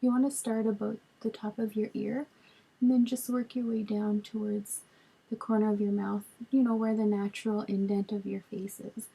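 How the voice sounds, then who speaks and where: A woman in her twenties with a raspy voice from a cold, chatting casually through a makeup tutorial, recorded on a webcam in a small bedroom. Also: mid-range voice, moderate pace, low-quality recording. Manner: relaxed, chatty, lots of ums while applying makeup. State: hoarse from a cold. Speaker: beauty vlogger. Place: small bedroom with storage shelves, indoors. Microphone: webcam at arm's length.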